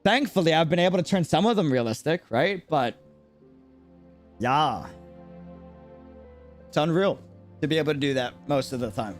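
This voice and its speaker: Casting: male streamer, lightly high-set voice, lively swings, reflective casual asides, soft music behind. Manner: musing, halting, often seeking agreement. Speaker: game streamer. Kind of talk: casual live stream commentary. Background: game music.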